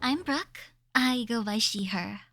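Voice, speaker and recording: A woman acting a caring young woman with a high voice. She is gentle and reassuring, in an audio roleplay recorded very dry. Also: expressive, a little slow, no echo, heavily acted. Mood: friendly. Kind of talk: scripted ASMR roleplay acting.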